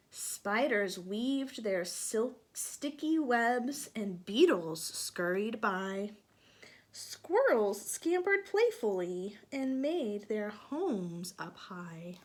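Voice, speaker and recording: A woman teacher reads a children's picture book aloud at an unhurried pace. Her voice sits at a middle pitch. She pauses often to explain things patiently and ask the little listeners questions. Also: very animated.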